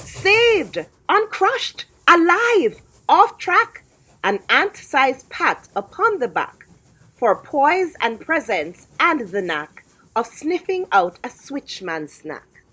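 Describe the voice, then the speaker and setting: A woman reading a rhyming children's story aloud in a rhythmic, dramatic, expressive way. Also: sits high, slow pace, poor audio. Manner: rhythmic, dramatic, theatrical phrasing.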